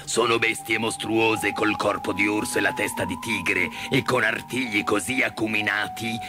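A man voicing a big, gentle cowardly lion in a storybook, with a slow, sighing, mournful drawl. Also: on the low side, steady tone, music in the background, natural voice. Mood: fearful.

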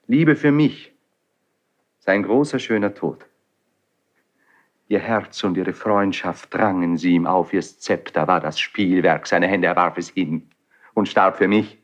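An actor playing a passionate, defiant young Spanish prince stricken with grief, declaiming classical verse in a 1970s German radio drama. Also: male voice, sits low, slow pace, pauses often. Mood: grieving, reproachful. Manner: declamatory, impassioned. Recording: dull 1970s radio studio recording.

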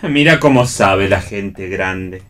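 A man's voice: deep voice, animated, takes it slowly, fairly bright tone, full character voice. A man with an Argentine accent playing a sardonic, teasing young bohemian in a radio play reading, with dry, mocking remarks. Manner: dry, mocking one-liners.

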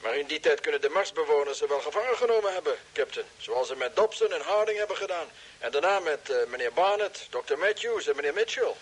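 An actor playing a dutiful, worried base radio operator in an old radio drama, deferential and a little hesitant, with a husky voice. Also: male voice, on the high side, expressive, quick pace. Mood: respectful, worried.

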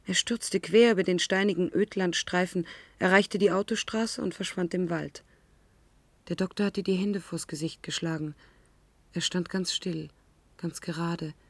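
An actress in a radio drama playing a shaken, grieving woman who tells her memories in a halting, hushed, weighty way. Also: on the low side, fairly even intonation, dry recording. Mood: numb, somber. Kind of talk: radio drama acting, first-person narration.

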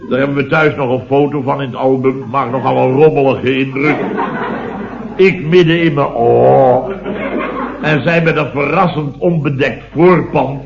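A man's voice: mid-range voice, steady tone, moderate pace, creaky, crowd noise, natural voice. An actor playing a flustered, long-winded married man rambling emphatically in a 1970s radio comedy sketch. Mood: self-important reminiscing. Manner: rambling, emphatic, peppered with tag questions. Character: married man, flustered long-winded comic storyteller complaining about wife. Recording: dull old radio recording, roomy, audience laughter.

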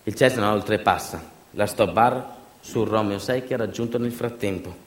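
Echoey man in dramatic theatrical monologue. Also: on the low side. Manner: intense, rhythmic, repetitive delivery with dramatic pauses. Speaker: stage actor and narrator. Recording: roomy, echoing sound.

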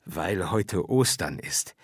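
A man's voice: sits low, expressive, slow, breathy edge, dry recording, clean studio sound, heavily acted. A man voicing a lonely, plain-spoken man from an apartment house in a storybook reading, with a questioning manner. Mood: eager, inviting.

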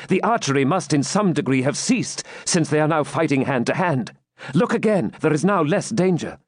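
A man acts out a wounded storybook knight, eager for battle and full of pride. The delivery is raised, declamatory and insistent, with lively swings of pitch and old chivalric diction. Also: moderate pace, husky, no room sound, clean studio sound. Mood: anxious, urgent. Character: wounded male medieval knight, proud, impatient, eager for battle.

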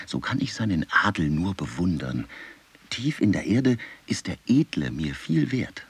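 A brooding burrow-dwelling beast, with a deep, very raspy voice that swings widely in pitch. It speaks in eloquent, deliberate phrases, like a calculating predator musing in a radio play. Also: male voice, heavily acted. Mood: brooding admiration. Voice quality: husky, raspy. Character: earth-dwelling predatory animal, calculating, admiring the noble eagle. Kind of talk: literary radio drama monologue.

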